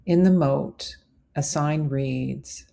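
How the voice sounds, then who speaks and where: A woman narrating a scripted guided visualization as a meditation host, in measured, deliberate phrases. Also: low voice, gravelly, dark tone.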